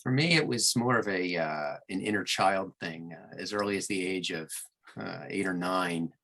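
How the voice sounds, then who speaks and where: A man's voice: low voice, normal speed, creaky, a bit bright. A middle-aged man from Long Island, an audiobook producer telling stories conversationally as a podcast guest. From Long Island, New York, United States. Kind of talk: conversational storytelling in an interview.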